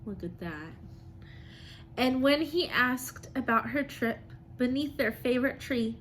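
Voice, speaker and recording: Higher-voiced woman teaching young children. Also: a little slow, fairly bright tone, a little hiss or hum, poor audio. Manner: child-directed, asking questions and affirming. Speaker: elementary school teacher. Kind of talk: children's read-aloud and drawing lesson.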